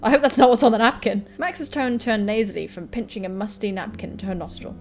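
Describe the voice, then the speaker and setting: High-voiced woman reading a game aloud. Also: brisk, a little mellow, soft background music. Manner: crude joking interjections, swearing freely. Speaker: gaming video host. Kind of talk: lively let's-play commentary, reading a visual novel aloud.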